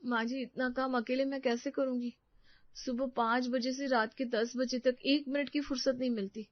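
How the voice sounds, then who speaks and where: Woman as plaintive young wife, high voice. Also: fairly even intonation, moderate pace, dry recording, highs cut off, close to an everyday voice. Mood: pleading. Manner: plaintive, protesting. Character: overworked, aggrieved young daughter-in-law, pleading. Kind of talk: Hindi family drama storytelling.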